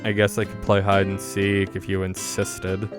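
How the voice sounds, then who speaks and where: A man's voice: deep voice, monotone, normal speed, music in the background, lightly acted. A man voicing a reserved, bookish teenage schoolgirl from a visual novel, dry and reluctant.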